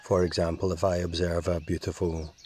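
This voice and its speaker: A man guiding a meditation in a calm, measured way with long pauses. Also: low-pitched, a little hiss or hum. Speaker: meditation guide. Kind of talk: slow guided meditation.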